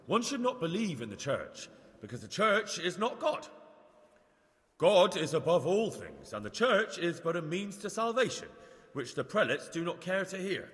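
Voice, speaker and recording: A man playing an earnest, unsure young layman who preaches in a declamatory but halting way in a video game. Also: high-pitched, big pitch swings, a bit bright. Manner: declamatory but halting, stammering when flustered. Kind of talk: video game acting, improvised sermon. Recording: reverberant church hall.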